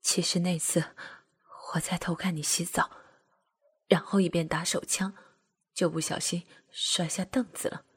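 A woman voicing an eager younger brother, speaking in a breathy, hushed half-whisper. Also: flat delivery, a little slow. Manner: low murmuring, half-whispered.

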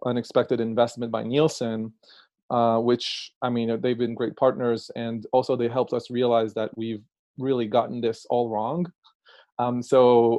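A man's voice: medium pitch, a little mellow, no echo. A man in his thirties with an Israeli accent, a company co-founder answering interview questions with many ums. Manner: hesitant, lots of ums, light laughs. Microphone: remote call. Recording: dry, dull lo-fi call audio.

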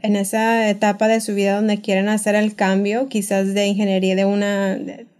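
A woman's voice: medium pitch, little pitch movement, moderate pace, darkish tone, clear, resonant tone. A woman co-hosting a casual podcast, supportive and asking questions with many short backchannels. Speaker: podcast co-host. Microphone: studio microphone.

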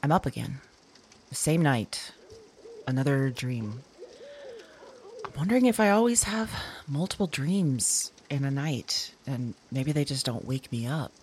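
A woman playing an uneasy, self-conscious dreamer in an audio drama, speaking haltingly into a voice memo and trailing off. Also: very deep voice, animated, a little mellow, slight background noise. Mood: uneasy, musing.

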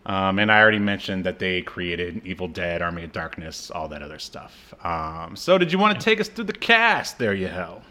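A man co-hosting a podcast in casual film and TV chat, a native English speaker with a jokey, chuckling, halting delivery. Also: low-pitched, sing-song, pushed and bright. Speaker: podcast co-host.

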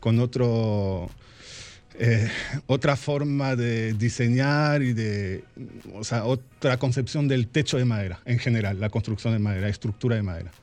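A man's voice: medium pitch, normal speed, darkish tone, faint music behind. A man born in Argentina and raised in France, a guest on a radio show, speaking hesitantly with many fillers. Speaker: guest, carpentry business owner. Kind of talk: radio interview.

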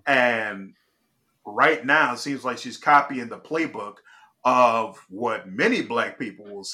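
Bright-voiced man giving emphatic commentary. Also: medium pitch. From Chicago. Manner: emphatic, restarts and checks in with "all right?". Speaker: political commentary host. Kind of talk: casual political commentary.

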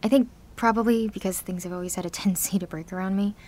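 A woman's voice: medium pitch, steady tone, fast talker, darkish tone, lightly acted. Anxious, defiant teenage girl supervillain, played by a woman. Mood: anxious, confiding. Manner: sardonic, sweary, theatrical, self-interrupting. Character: anxious, defiant seventeen-year-old girl with superpowers, self-styled supervillain. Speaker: narrator and lead character. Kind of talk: confessional audio drama monologue.

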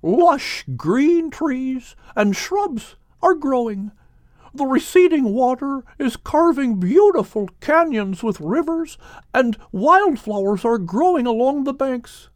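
A man voicing a gentle, tired male dove who speaks softly and with wonder. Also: extremely high pitch, lively intonation, slow pace, dry recording, studio quality, voice pushed up, exaggerated voice.

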